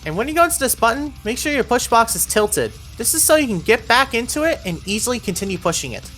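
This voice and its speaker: A woman's voice: on the high side, big pitch swings, fast talker, fairly bright tone, faint music behind. A woman narrating an instructional video game walkthrough, giving encouraging step-by-step directions.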